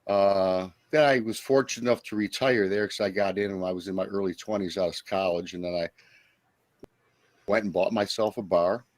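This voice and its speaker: A middle-aged man from Michigan giving a conversational personal account as a guest, in a halting, rambling way. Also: deep voice, fairly even intonation, no echo, clean studio sound. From Michigan, United States. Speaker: podcast guest, Bigfoot eyewitness.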